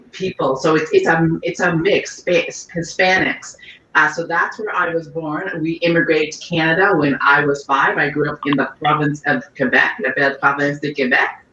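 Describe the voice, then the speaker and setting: A woman's voice: sits low, a little hiss or hum. A woman from Trinidad hosting a panel discussion, addressing her guests with affectionate names. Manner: warm-hearted and affectionate with guests. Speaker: panel host. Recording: echoey, distant, dull audio.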